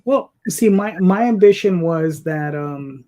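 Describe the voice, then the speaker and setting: A man in his fifties with a fairly high-pitched voice, speaking casually as a comic creator being interviewed. He rambles through his thoughts in a loose, conversational way. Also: animated, slow pace, warm tone. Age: 50s.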